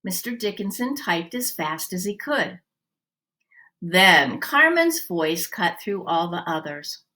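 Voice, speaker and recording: Animated, bright-voiced woman reading a picture book. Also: fairly low pitch. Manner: animated, deliberate, dramatic emphasis. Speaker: read-aloud storyteller. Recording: roomy, echoey sound.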